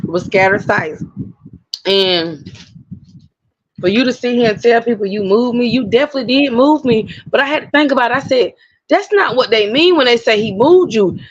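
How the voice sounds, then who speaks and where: Heated woman ranting in a casual chat, a bit high-pitched, muffled sound. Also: normal speed, husky, dry recording. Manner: blunt, heated, swears freely. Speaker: host.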